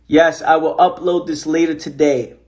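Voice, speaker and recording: A high-voiced man speaks into his phone on a livestream, animated and repetitive. His talk is casual and motivational, with loose swearing. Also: normal speed. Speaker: host of an Instagram live.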